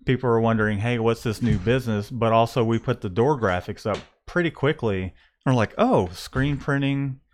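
A man's voice: mid-range voice, normal speed, slight background noise. A man in his thirties, a print shop owner, talking casually about his business into a close studio mic, rambling and restarting his thoughts. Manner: rambling, restarts often, thinks aloud. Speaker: screen printing shop owner and podcast host. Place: small print shop room. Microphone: studio condenser mic close up, headphones.

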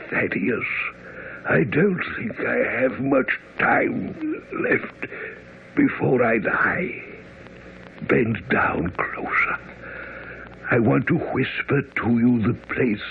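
An actor playing a dying, secretive old army major in a radio drama, weak and straining. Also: male voice, mid-range voice, sing-song, slow pace, creaky, full character voice. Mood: weak, urgent. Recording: tinny, slightly noisy old radio broadcast.

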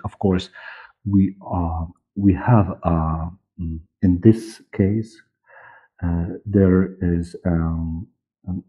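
A man in his forties with a Polish accent, speaking haltingly as an interview guest and searching for words. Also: deep voice, slightly rough. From Poland. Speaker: interview guest, audiobook director and producer. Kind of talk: conversational interview. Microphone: video call. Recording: muffled, dull video call audio.

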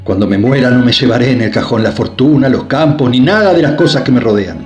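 A man's voice: medium pitch, lively intonation, brisk, a little mellow, roomy sound, highs cut off, faint music behind, close to an everyday voice. A man voicing a resolute middle-aged son who confronts his mother in a radio drama. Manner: firm, earnest, impassioned.

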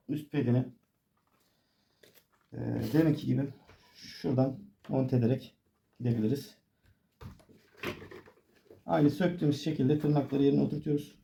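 A man's voice: mid-range voice, creaky, warm tone, slight background noise. A man giving a casual hands-on tutorial, explaining informally step by step as he works. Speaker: DIY tutorial presenter.

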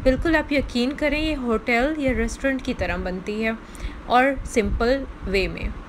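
Higher-voiced woman narrating a recipe. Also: fairly bright tone, slight background noise, low-quality recording. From Pakistan. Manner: explains each step, often restating in simpler words. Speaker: cooking channel host. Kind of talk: recipe voice-over.

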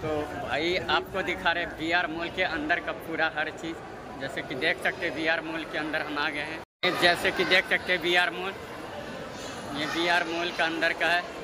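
High-pitched young man vlogging over loud noise. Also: level tone, moderate pace. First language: Maithili. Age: young adult. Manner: repetitive, informal narrating as he walks. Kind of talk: casual vlog chat to camera. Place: outdoors by roads and inside a large busy mall. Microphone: phone at arm's length. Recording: loud street and mall ambience, handheld. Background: loud urban ambience and vehicles.

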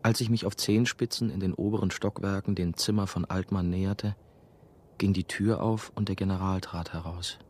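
Man playing a deep-voiced, brooding young storyteller. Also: fairly even intonation, normal speed, darkish tone, slight background noise, natural voice. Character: brooding male ex-novice, nursing-home worker, telling his story. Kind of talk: radio drama first-person narration. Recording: music behind the voice.

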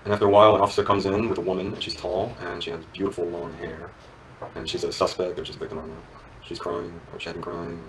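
Lawman, played by a man: lowish voice, intent, hushed, earnest confider, naturalistic drama, slight background noise. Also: little pitch movement, fast talker, distant mic, close to an everyday voice, low-quality recording. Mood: wistful, intent. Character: quietly intense lawman recalling his youth, earnest, probing. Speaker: law enforcement agent.

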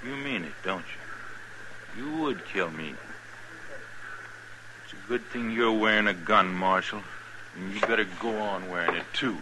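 An actor playing a big, mean, threatening American frontier brute in a 1950s radio western. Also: male voice, fairly low pitch, a little slow, long pauses, close to an everyday voice. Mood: menacing. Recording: noisy old radio recording.

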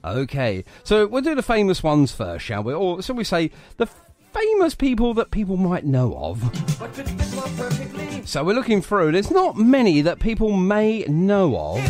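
A man's voice: high-pitched, huge pitch swings, unhurried, darkish tone. A British man hosting a live radio show with casual, chatty, jokey talk. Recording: music playing behind the voice.

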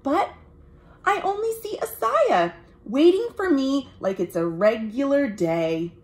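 A young American woman reads a picture book aloud to children, expressive and deliberate, with friendly asides like a storytime librarian. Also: sits high. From United States. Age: young adult. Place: small room at home.

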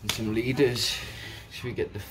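A man's voice: medium pitch, fairly even intonation, normal speed, a bit bright, slight background noise, poor audio. A man giving casual, sweary, slangy unboxing commentary indoors, filming on a handheld phone. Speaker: fishing vlogger. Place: indoors at a table. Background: music.

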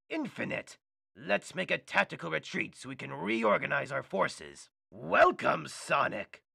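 A man voicing a gloating mad scientist villain with a pompous, theatrical, loud delivery. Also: a little high, creaky, breathy edge, dry recording, studio quality, full character voice.

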